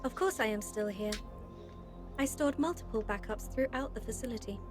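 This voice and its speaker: Female AI overseer, played by a woman, high voice, formal, clipped, self-willed, science fiction drama, music behind. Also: moderate pace, lightly acted. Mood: self-assured. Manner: formal, clipped machine-like announcements.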